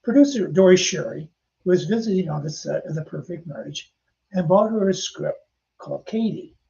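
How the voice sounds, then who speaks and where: An older man tells anecdotes in a chatty podcast style, turning to his listeners now and then. His voice is heavily gravelly and mellow, a little high for a man, and the recording sounds dull and lo-fi. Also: lively intonation, moderate pace. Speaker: podcast host and author. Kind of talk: casual storytelling narration.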